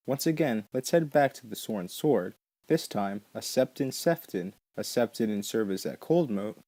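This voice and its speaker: A man narrates a podcast from a prepared script in the measured role of host. His voice sits at a middle pitch, and the sound is close and dry with no room around it. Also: mellow, dry recording.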